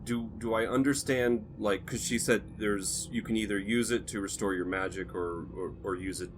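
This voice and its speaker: A man playing a tabletop game, asking careful, halting questions about the rules with many restarts. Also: lowish voice, fairly even intonation, background noise. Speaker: player.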